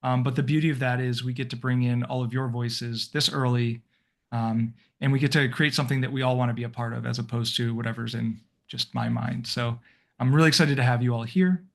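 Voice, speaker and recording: A man's voice: mid-range voice, fairly even intonation, fairly quick, creaky. Male volunteer coordinator on a video call; casual, loose, lots of fillers, middle voice. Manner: friendly, rambling with fillers and restarts.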